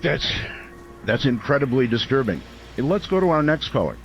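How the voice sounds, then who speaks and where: A man's voice: medium pitch, sing-song, moderate pace, lo-fi sound, faint music behind. A man playing a concerned late-night radio host in an audio drama about a small town. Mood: concerned, probing. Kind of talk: radio drama talk-show hosting. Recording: noisy, rain ambience behind.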